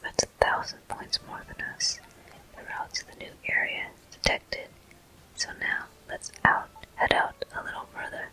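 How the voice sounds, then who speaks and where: A gaming host giving gentle, soothing ASMR commentary in an unhurried, breathy, unvoiced whisper. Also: poor audio.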